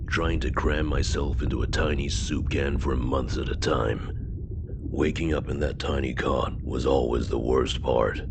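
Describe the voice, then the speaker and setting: A gruff, burly ex-Navy technician tells his story in an extremely deep, gravelly voice that sinks into half-whispers. Steady noise sits behind him in an audio drama. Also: full character voice. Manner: low brooding, half-whispered confession. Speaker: narrator. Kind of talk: first-person audio drama narration.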